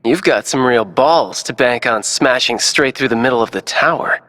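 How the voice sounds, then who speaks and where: A man's voice: mid-range voice, moderate pace, fairly bright tone, natural voice. Man acting a blunt, wry ally, reverberant. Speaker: voice actor. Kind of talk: video game cutscene acting.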